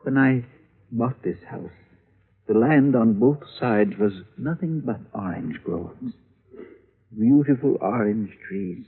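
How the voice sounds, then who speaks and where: A frail, fussy old man who frets over his orchids and stammers through his sentences. His voice is husky, and it comes through a thin, tinny old radio broadcast. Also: mid-range voice, animated, slow pace. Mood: fretful. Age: older. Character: frail elderly male orchid grower, nervous. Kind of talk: 1950s radio drama acting.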